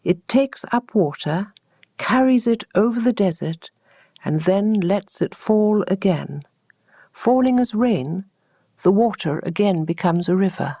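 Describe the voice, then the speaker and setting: A woman voicing the mysterious desert sands in a storybook tale, calm, patient and certain as they instruct. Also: medium pitch, a little slow, slightly rough, no echo. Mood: calm, knowing.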